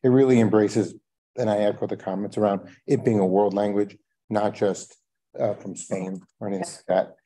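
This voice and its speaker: A man's voice: lowish voice, little pitch movement, moderate pace, mellow. A man on a school board asking questions at a meeting, hesitant with many fillers and restarts.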